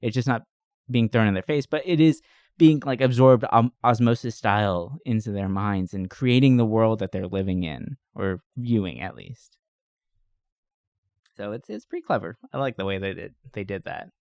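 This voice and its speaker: A man's voice: lowish voice, moderate pace, creaky, a little mellow, no room sound, clean studio sound. A man co-hosting a podcast, chatting casually and enthusiastically about movies in a rambling, giggly storytelling way. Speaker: podcast co-host reviewing movies. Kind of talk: casual movie-review chat.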